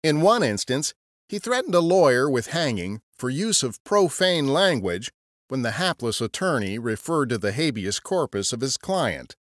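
A man's voice: medium pitch, fairly bright tone, dry recording, studio quality. A man narrating a scripted history podcast as a storyteller.

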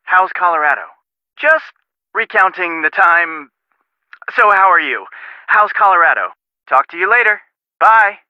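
A breezy man chats casually in a high, animated voice. The sound is dry and a little lo-fi. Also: unhurried, long pauses, dry recording. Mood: casual, friendly. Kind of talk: video game voice acting.

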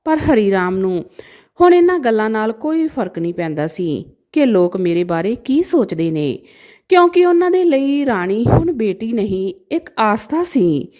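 A woman narrating a scripted emotional moral story as a storyteller, with an expressive, dramatic delivery. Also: a little high, moderate pace.

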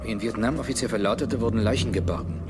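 An actor playing a grave, decisive British government minister in a dubbed film, authoritative and curt. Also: male voice, on the low side, quick pace, music in the background, lightly acted.

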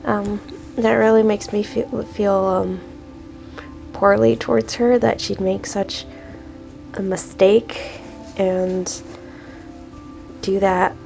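A young woman talks slowly and haltingly, her voice congested and stuffed up from a cold. Her tone is dark and mellow with a gravelly rasp. She speaks at a desk at home, with faint music playing underneath. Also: medium pitch, fairly even intonation, muffled recording. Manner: hesitant, many ums, slow and deliberate. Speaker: art YouTuber. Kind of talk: casual commentary while drawing.

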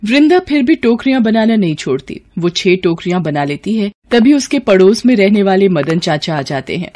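A woman's voice: medium pitch, big pitch swings, normal speed, darkish tone. A woman reading scripted narration for a moral story as the storyteller.

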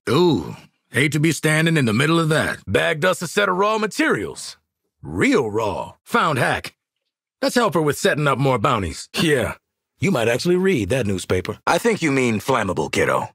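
Raspy, cocky mercenary marksman. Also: a little high, a bit bright, no echo, studio quality, exaggerated voice. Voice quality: raspy, gravelly. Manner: brash, sardonic, slangy. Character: cocky, wisecracking male mercenary marksman in a squad. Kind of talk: video game voice lines.

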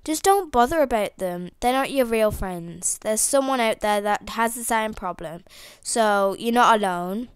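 A woman's voice: fairly high pitch, measured pace, clear, resonant tone, dry recording, studio quality. A teenage girl with a cold chatting in a rambling way, full of fillers and restarts, into a headset mic at home. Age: teens. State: has a cold, sniffly. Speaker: toy video maker. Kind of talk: rambling casual outro chat. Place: indoors at home.

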